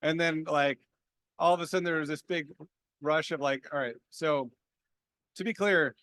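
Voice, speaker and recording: A man's voice: on the high side, normal speed, a bit bright, clean tone. A man speaking candidly and informally as a panelist, self-deprecating as he answers in a conversational way. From small town, grew up there. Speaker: panelist, experienced software developer.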